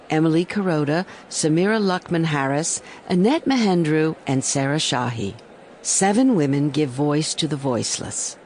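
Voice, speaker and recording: A woman's voice: low voice, darkish tone. Woman announcer over background noise. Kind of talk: scripted audio drama introduction.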